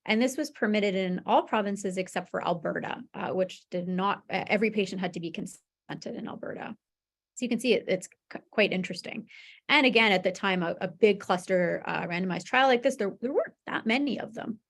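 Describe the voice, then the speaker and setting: A woman's voice: medium pitch, fairly quick, creaky. A woman presenting medical research in a webinar, explaining conversationally with informal check-ins. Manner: informal explaining with frequent "you know" and "right?" check-ins.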